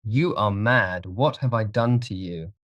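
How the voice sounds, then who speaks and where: A man voicing a proud, haunted gentleman in an audiobook, agitated and curt. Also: mid-range voice, big pitch swings, moderate pace, dry recording, muffled recording, voice pushed up. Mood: frightened. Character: haunted, youthful-sounding gentleman, proud, easily panicked. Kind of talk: dramatised audiobook character reading.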